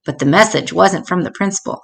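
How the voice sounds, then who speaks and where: A woman reading a children's book aloud in an expressive storytelling style, shifting her pitch to act out dialogue. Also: fairly quick, vocal fry, breathy edge. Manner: acts out dialogue with changing pitch.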